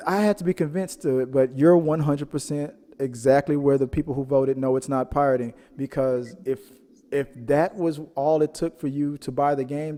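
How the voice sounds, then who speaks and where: A man's voice: fairly high pitch, dark tone. A man hosting a casual chat show about audience polls, rambling and often repeating his words. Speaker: host of a panel show. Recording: long echoey hall reverb.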